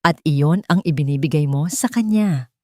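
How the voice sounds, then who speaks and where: A woman's voice: low-pitched, normal speed, a little mellow, natural voice. A woman voicing a grieving, remorseful elderly grandmother in a drama story, subdued and solemn. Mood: tender, apologetic.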